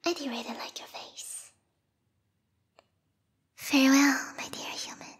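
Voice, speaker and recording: A woman voicing a lethal yandere vampire bat girl, a queen's knight with formal, theatrical speech, in a breathy whispered ASMR roleplay. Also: high voice, slow. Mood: coldly regretful. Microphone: close binaural microphone. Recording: reverberant hall-like echo.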